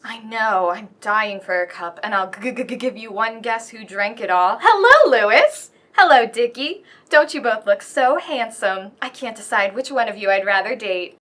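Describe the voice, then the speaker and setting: Chirpy, teasing chorus-line flirt, played by a woman; high and sharp-toned, for radio comedy. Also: lively intonation, normal speed, hardly any pauses. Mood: grumbling. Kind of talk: radio play comedy acting.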